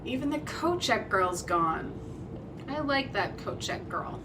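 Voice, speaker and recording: A woman's voice: a little high, expressive, takes it slowly, far from the mic, noisy background. A woman playing a blunt, caring ally in a tabletop roleplay, speaking expressively.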